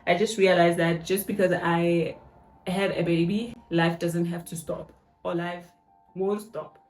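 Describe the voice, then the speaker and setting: A woman's voice: fairly low pitch, steady tone, a little slow, a little mellow. Chatty woman vlogging in an echoey bathroom. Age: 30s. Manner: chatty, addresses viewers as "guys", slangy "yo". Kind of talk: casual vlog chat. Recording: echoey bathroom, faint music behind.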